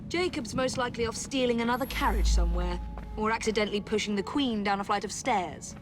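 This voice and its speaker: Actress as poised English assassin, music behind. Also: female voice, a little high, quick pace, lightly acted. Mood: dry, wry. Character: poised, dry-witted young female Victorian assassin. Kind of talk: video game cutscene acting.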